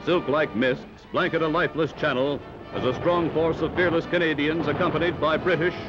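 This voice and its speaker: A man's voice: fairly high pitch, steady tone, a little fast, over music. Dramatic American 1940s newsreel man, dull old soundtrack. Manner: dramatic, emphatic delivery. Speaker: newsreel narrator. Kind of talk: 1940s newsreel narration, scripted.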